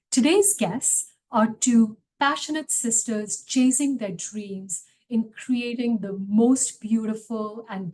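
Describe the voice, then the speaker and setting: A woman with a mid-pitched voice hosts a webinar. She speaks as an official presenter, reading introductions and asking guests questions. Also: a little mellow, low-quality recording. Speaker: host, city small business official.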